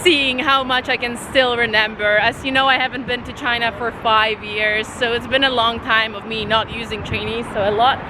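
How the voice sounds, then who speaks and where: A chatty, excitable young woman from Poland, vlogging casually as she travels through city streets and taxis, laughing often. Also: fairly high pitch, moderate pace, few pauses. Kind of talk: casual travel vlog to camera. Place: outdoors on city streets and in a taxi. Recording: noisy outdoor vlog with loud crowd and music. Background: loud street crowd and music.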